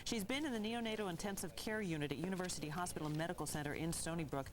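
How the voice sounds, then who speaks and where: A woman presents a scripted television newscast as anchor. Her voice is slightly lower than average for a woman. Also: very fast, crowd noise. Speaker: TV news anchor.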